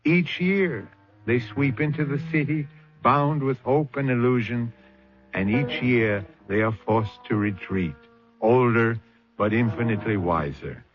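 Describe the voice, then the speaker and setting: A man closing a radio drama with a measured, wry reflection and reading the credits. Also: medium pitch. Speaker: host. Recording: muffled old radio with faint music.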